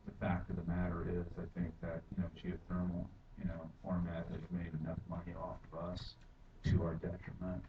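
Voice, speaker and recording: Deep-voiced man rambling in an echoey chamber. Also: completely flat delivery, normal speed, few pauses. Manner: rambling, many fillers, grows forceful. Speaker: public testifier. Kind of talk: public testimony at a council hearing. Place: county council chamber. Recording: echoey hall from far off, dull and noisy.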